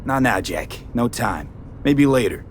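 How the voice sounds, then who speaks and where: A man's voice: medium pitch, lively intonation, unhurried, slight background noise, natural voice. A male actor playing a guarded young mercenary in a cyberpunk game, American, speaking in clipped, streetwise, matter-of-fact phrases. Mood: focused, tense. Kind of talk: video game voice acting.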